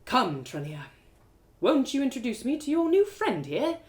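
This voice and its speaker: A woman's voice: high-pitched, very animated, a little slow, voice pushed up. A woman voicing a sly, haughty male elf who drawls with mocking politeness in a told fantasy story. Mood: silky, mocking. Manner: drawling, falsely polite, taunting.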